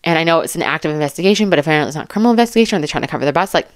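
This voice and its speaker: A woman commentator talks casually and emphatically, as if chatting straight to her viewers. Her voice sits a little low, and she rambles in an animated, informal way. The sound is dry, with very little room to it. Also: racing, no echo. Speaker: true crime commentary YouTuber.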